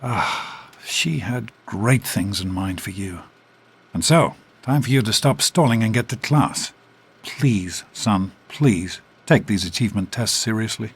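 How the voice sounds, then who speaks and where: An actor playing a fond, gently stern middle-aged father and doctor in a video game, with a soft husky voice. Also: on the low side, full character voice.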